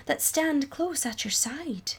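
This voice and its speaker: A woman's voice: high-pitched, animated, takes it slowly, voice pushed up, heavily acted. A woman voicing a lovesick young woman in an old ballad, with a put-on Scottish accent and lilting, pleading, archaic delivery. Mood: pleading, questioning. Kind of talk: dramatic recital of an old ballad.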